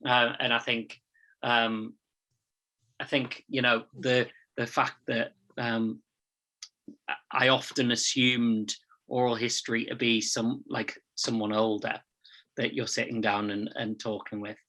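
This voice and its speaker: Male webinar participant, halting and very slow, flat intonation, bright forward tone. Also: medium pitch, low-quality recording. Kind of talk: halting conversational contribution. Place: video call.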